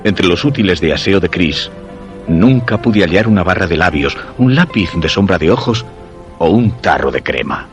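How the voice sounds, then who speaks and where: An actor with a Castilian accent playing a suspicious husband, narrating a dark suspense radio drama in measured, literary phrasing. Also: male voice, sits low, expressive, soft background music. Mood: brooding. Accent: Castilian Spanish.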